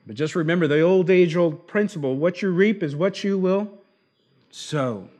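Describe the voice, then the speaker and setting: A man with Argentine roots preaching an expository sermon, slowing down to stress key words. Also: sits high, a little mellow. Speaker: pastor.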